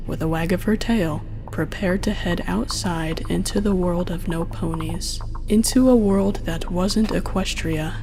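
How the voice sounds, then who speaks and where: A woman's voice: lowish voice, a little mellow, low-quality recording. A woman reading a scripted story aloud as its narrator. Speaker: narrator and reader.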